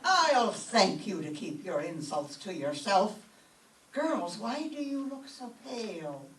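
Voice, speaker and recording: Woman acting older Irish landlady, fussy, animated pitch, radio sitcom style, roomy distant sound. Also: slow. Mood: indignant. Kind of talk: old-time radio comedy acting on stage. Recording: roomy, echoing, distant stage microphone.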